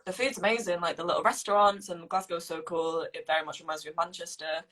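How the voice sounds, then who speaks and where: A young woman, chatting playfully and agreeing quickly in a casual conversation. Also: lowish voice, fairly even intonation, fairly bright tone, poor audio. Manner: bubbly and playful, lots of ums and quick agreement. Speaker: actor answering fan questions. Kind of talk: casual chat.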